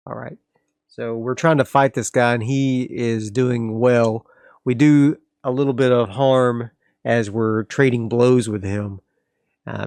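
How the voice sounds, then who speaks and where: Gravelly man narrating a solo game. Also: mid-range voice, a little mellow, no echo. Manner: casual, narrates moves and reads rules aloud. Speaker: host and solo player of a tabletop role-playing game. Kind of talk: solo tabletop actual-play commentary, thinking aloud.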